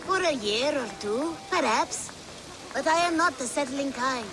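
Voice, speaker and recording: A woman's voice: sits high, expressive, a little slow, poor audio. A woman playing a restless, independent wanderer in a video game, speaking in a reserved, wistful way. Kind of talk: video game dramatic dialogue.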